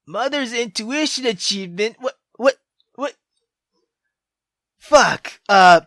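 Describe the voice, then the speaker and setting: A young man playing a visual novel on camera, reacting loudly and excitably with lots of swearing. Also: very high-pitched, slow, dry recording, clean studio sound. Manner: loud, excitable, heavy swearing. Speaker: gaming YouTuber. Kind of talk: let's-play commentary.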